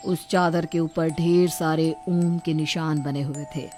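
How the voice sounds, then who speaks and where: A woman's voice: deep voice, steady tone, a little slow, dark tone, faint music behind. A woman reading scripted narration for a cartoon horror tale.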